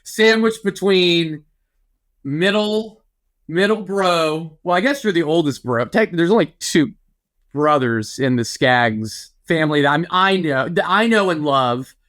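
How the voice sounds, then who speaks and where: A man's voice: fairly high pitch, lively intonation, unhurried, fairly bright tone. A man co-hosting a podcast in casual chat, riffing playfully. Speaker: podcast co-host.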